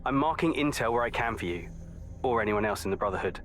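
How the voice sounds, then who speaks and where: A man's voice: mid-range voice, animated, quick pace, a bit bright, faint music behind, natural voice. An actor playing a determined, headstrong young male assassin leaving an earnest briefing in a video game audio log.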